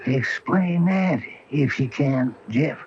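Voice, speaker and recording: An actor playing an excitable, good-natured small-town handyman in a 1980 radio drama. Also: mid-range voice, takes it slowly. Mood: spooked. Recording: dull, hissy 1980 AM radio broadcast.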